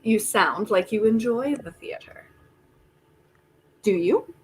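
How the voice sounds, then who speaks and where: A woman's voice: medium pitch, expressive, slow, darkish tone. A woman playing a genial, self-important, theater-loving tycoon in a comic play reading, talking in a wry, coaxing, theatrical manner. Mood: wry, probing. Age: older. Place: remote virtual reading. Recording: noisy online video call audio.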